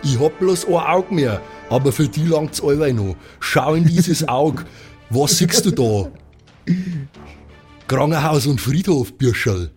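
A man's voice: a little high, lively intonation, measured pace, a little mellow, faint music behind. A man playing a belligerent, boastful one-eyed drunk with a Bavarian accent, blustering and repeating himself in an improvised audio game. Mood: menacing.

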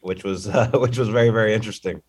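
Relaxed Texan man, thirties, low-pitched guest, casual storyteller. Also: fast talker, breathy edge. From Texas. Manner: easygoing storyteller with fillers. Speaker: golf broadcaster, podcast guest. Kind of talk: casual interview chat.

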